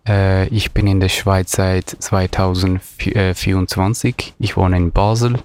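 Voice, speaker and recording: Hesitant low-voiced male interpreter. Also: level tone, slow pace, a little mellow. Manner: hesitant, many "äh" fillers. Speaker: interpreter voice-over. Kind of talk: first-person translation voice-over.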